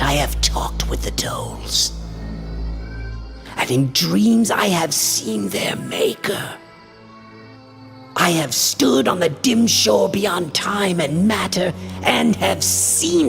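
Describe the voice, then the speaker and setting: A man's voice: on the high side, creaky, a bit bright, exaggerated voice. A man playing an obsessed, frantic occult scholar in a radio horror drama, theatrical and portentous in delivery.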